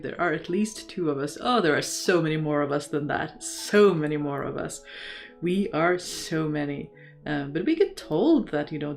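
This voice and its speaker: A low-voiced woman chats casually to her livestream viewers. She rambles thoughtfully and with real enthusiasm, while soft guitar music plays behind her. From Norway. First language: Norwegian. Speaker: crafting livestream host. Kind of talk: casual chat, reading and answering live comments.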